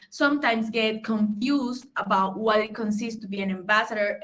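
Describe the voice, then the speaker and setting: A woman's voice: fairly high pitch, fairly bright tone, poor audio. A young adult woman from Colombia with a Colombian accent, Spanish her first language, giving an informal tech talk at a conference with many fillers and restarts. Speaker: conference speaker.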